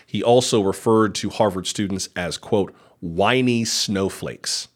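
A man's voice: on the low side, measured pace. A man hosting news commentary, talking in a conversational, skeptical way with wry asides.

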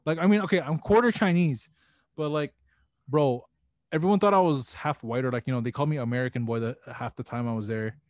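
A man's voice: mid-range voice, quick pace, no room sound, studio quality. A young man from the Philippines co-hosting a podcast, chatting casually in loose slang and laughing often. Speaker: podcast co-host.

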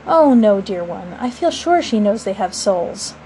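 A woman voicing a gentle, affectionate mother in a storybook, with a placid, tender, soothing manner. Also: medium pitch, very animated, a little slow, slight background noise, rough recording. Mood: gently reassuring.

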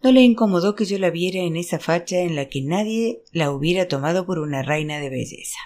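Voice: medium pitch, lively intonation, fairly quick, a little mellow. A narrator reading literary fiction aloud as an audiobook, in a scripted storytelling style. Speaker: audiobook reader.

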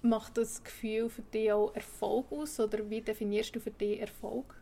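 A woman's voice: fairly high pitch, fairly even intonation, normal speed, dark tone. A woman with a Swiss German accent hosting a podcast, asking questions and often rephrasing them as she goes. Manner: searching, rephrases her questions. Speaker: podcast host, interviewer. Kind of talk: interview questions.

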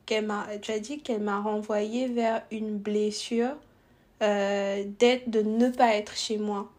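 A woman's voice: medium pitch, flat delivery, slow pace, darkish tone. A young woman from Congo hosting a podcast, talking casually, hesitant and full of restarts, asking probing questions. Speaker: podcast host. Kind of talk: casual conversation.

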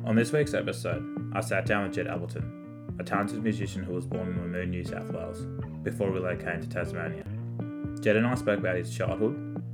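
A man's voice: low-pitched, fairly quick. Male podcast host over music. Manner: relaxed, hesitant, many fillers. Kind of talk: interview podcast, casual chat. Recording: music bed under the intro.

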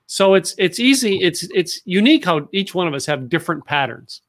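Male host, higher voice, chatty and rambling, lots of fillers. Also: sing-song, a little fast, a bit bright. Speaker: podcast host. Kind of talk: casual interview chat.

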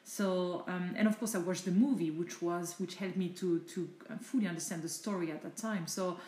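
A middle-aged woman from France with a French accent, speaking English rather than her native French, giving chatty, thoughtful answers with searching pauses in a casual home interview. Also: lowish voice, fairly even intonation, dark tone, roomy sound. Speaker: interviewee, mother of the host.

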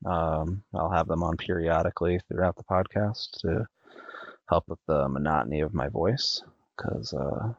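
Male podcast host, low and monotone, hesitant with fillers; dull, dry headset recording. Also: moderate pace, no room sound. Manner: monotone, with many ums and uhs. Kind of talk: casual solo podcast talk. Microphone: USB desktop headset.

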